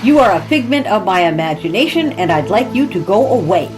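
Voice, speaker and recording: A woman's voice: mid-range voice, very animated, a little fast, over music, natural voice, poor audio. A woman voicing an elderly retired schoolteacher, prim, snappish and defiant, in a horror story reading. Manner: stern, snappish, indignant. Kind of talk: horror audiobook character.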